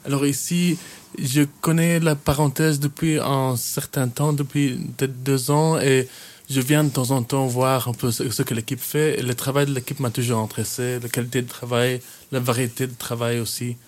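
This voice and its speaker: A man's voice: mid-range voice, little pitch movement, normal speed, darkish tone. A man in his twenties who grew up in Kenya and works as a youth worker, answering interview questions in a hesitant way, with frequent fillers and restarts. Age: 20s.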